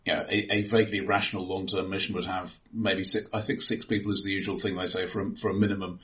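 A man with a slightly low voice chats about films as a co-host. His manner is analytical and conversational, with hesitant restarts as he works through a point. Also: level tone, fast talker, a bit bright. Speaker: podcast co-host reviewing films. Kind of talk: casual film discussion.

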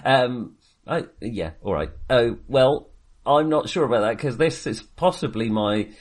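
A man's voice: medium pitch, animated, a little slow. A man co-hosting a casual film discussion, rambling and often correcting himself as he goes. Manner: hesitant, rambling, self-correcting. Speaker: podcast co-host reviewing films.

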